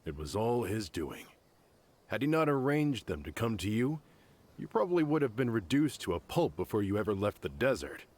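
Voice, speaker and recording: Hardened desert fighter, deep, bitter, earnest, emphatic, fiercely loyal, video game dialogue. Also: male voice, very animated, normal speed, a little mellow.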